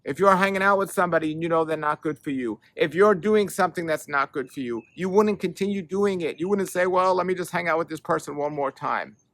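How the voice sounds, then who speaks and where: A man's voice: fairly high pitch, a little fast, hardly any pauses, a bit bright, no echo. A middle-aged man hosting a health show, giving an earnest motivational talk that urges and repeats for emphasis. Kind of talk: motivational monologue to camera.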